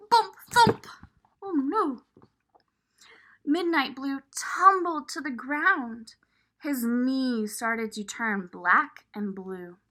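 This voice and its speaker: Woman, children's storybook read-aloud, unhurried pace, bright clear-cut tone, expressive, addressing young listeners. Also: high voice. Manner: expressive, pausing to point things out to young listeners. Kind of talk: animated read-aloud of a picture book.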